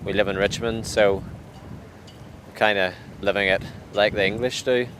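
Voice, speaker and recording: A young man, giving a thoughtful, halting personal account in an interview, with frequent restarts. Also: on the low side, steady tone. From Northern Ireland. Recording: clear outdoor traffic and water noise.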